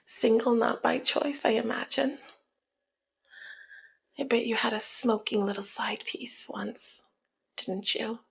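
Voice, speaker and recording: A woman playing a flirty, teasing, dominant female mob boss in an intimate ASMR roleplay, with a husky, breathy, half-whispered voice and a sultry, taunting manner. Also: a little high, full character voice. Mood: sultry, probing. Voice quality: breathy, husky, often slipping into near-whisper. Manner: slow, sultry, playful taunting. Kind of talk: ASMR roleplay, intimate one-sided dialogue.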